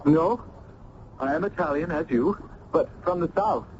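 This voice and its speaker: An actor playing an earnest, smitten young student in a radio drama, speaking formally and ardently with florid diction. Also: male voice, fairly high pitch, unhurried, natural voice. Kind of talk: old-time radio drama acting. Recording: dull, noisy old radio recording.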